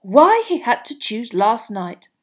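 A woman voicing a flustered middle-aged male official, talking urgently and fast. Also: a little high, big pitch swings, breathy edge, heavily acted. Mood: apologetic.

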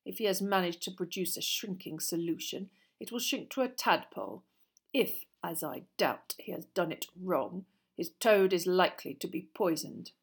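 A woman voicing a cold, bullying male potions teacher in an audiobook, with a slow, scornful, drawn-out delivery. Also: on the low side, slightly rough. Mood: stern.

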